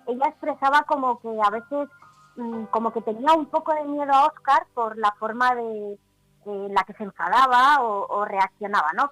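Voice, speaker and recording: A woman's voice: fairly high pitch, pushed and bright, low-quality recording. A woman, chatting casually and explaining hesitantly as a radio contributor. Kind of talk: casual chat commentary. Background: faint background music.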